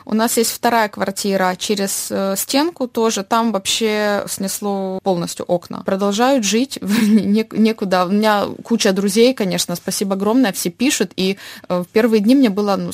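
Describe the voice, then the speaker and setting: A young woman tells her own story in an interview. Her voice sits at an average pitch with audible fry at the edges. She feels her way through phrases haltingly, in a dry, echo-free recording. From Dnipro, Ukraine. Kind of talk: personal account in an interview.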